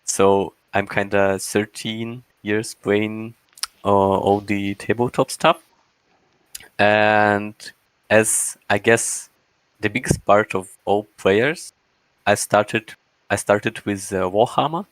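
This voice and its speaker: A man's voice: on the low side, steady tone, slow pace, clean tone, dry recording. A man in his twenties from Russia, speaking English as an interview guest, hesitant and searching for words. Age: 20s. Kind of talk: casual interview answers.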